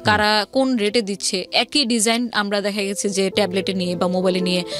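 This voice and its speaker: A woman's voice: mid-range voice, level tone, fairly quick, a bit bright, faint music behind. A woman with a Bangladeshi accent telling her personal story conversationally as an interview guest, mixing English words into her speech.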